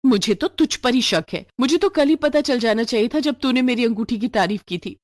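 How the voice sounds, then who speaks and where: A woman voicing a well-off working female employer in a moral storybook tale, brisk, commanding and demanding. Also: fairly high pitch, animated, dry recording, studio quality, lightly acted. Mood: accusing, angry.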